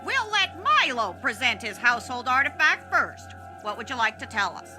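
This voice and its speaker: A weary, exasperated cartoon schoolteacher, speaks in a fairly high voice. Her theatrical, dry delivery swings widely in pitch, and music plays behind her. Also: sing-song, moderate pace. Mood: dry, controlled. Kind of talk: animated cartoon acting.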